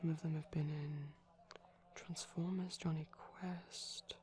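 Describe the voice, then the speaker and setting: A man speaking softly in a chatty, rambling ASMR style, often dropping to a whisper, digressive and tentative. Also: a little high, level tone, slow pace. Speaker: ASMR performer. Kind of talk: soft-spoken rambling ASMR commentary on a film. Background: TV film audio playing in background.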